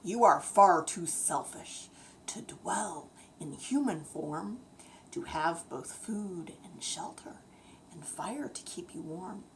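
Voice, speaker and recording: A stern, angry saint from a storybook poem, voiced by a woman scolds with slow, emphatic weight. The breathy delivery has some creak and sits in a noisy home recording. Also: lowish voice, animated. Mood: stern scolding.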